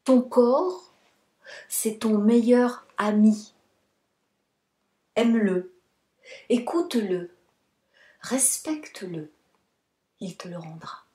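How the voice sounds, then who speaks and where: A woman yoga nidra instructor guiding a relaxation meditation in a soothing, deliberate manner, in short phrases with pauses. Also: a little high, warm tone. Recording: roomy, echoing sound.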